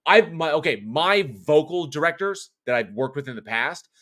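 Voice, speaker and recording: A man's voice: a little high, animated, moderate pace, fairly bright tone. A middle-aged American man with a non-regional accent hosts a horror show and chats casually. He rambles, reads viewer comments aloud and often restarts or corrects himself. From United States, born in California. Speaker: horror show host and voice actor. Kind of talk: casual live-stream chat, reading viewer comments.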